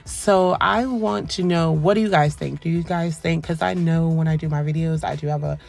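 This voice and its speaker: A woman giving a soft-spoken voice-over for a nail tutorial, polite and relaxed, rambling with frequent ums. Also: low voice, normal speed, vocal fry. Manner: soft, quiet, polite phone-etiquette voice. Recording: voice-over with faint background music.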